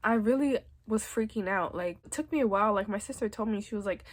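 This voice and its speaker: A woman's voice: mid-range voice, fast talker. A young woman with a stuffy, congested nose from a cold, giving chatty, reassuring advice in a casual vlog. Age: young adult. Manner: chatty, reassuring, many likes and literallys. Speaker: vlogger.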